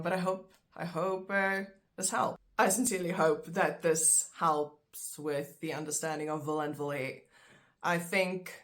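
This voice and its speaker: Chatty woman teaching. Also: sits low. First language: Afrikaans. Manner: chatty, explains step by step with fillers. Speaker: language teacher. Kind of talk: casual online lesson.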